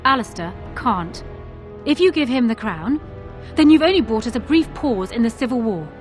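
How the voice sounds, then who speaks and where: A woman's voice: a little high, lively intonation, moderate pace, soft background music, natural voice. A woman voicing a proud, shrewd fantasy queen in a video game, speaking formally with regal assurance. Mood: scornful certainty.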